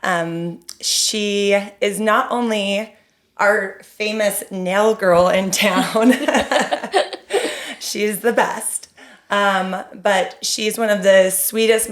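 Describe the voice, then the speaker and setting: A woman's voice: medium pitch, drawn-out words, fairly bright tone. A woman co-hosting a podcast in a casual, conversational interview style. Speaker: podcast co-host.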